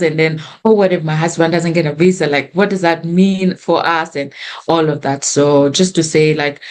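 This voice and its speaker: Earnest low-voiced Kenyan woman. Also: darkish tone. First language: Swahili. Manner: earnest and reflective, with frequent restarts. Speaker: guest. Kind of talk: conversational storytelling.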